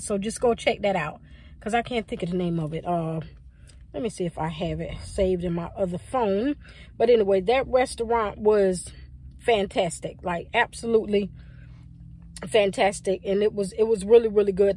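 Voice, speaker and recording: A woman in her forties talking casually to her phone in a parked car, rambling and animated, gesturing with her hands. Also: mid-range voice, no room sound. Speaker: vlogger. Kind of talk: casual chatty vlog. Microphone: phone at arm's length.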